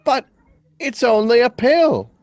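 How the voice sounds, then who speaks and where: A man's voice: extremely high pitch, animated, slow, a little mellow, heavily acted. A man playing a curious, naive young man in a radio drama reading, hesitant and full of questions. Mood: amazed. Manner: wide-eyed, hesitant, questioning.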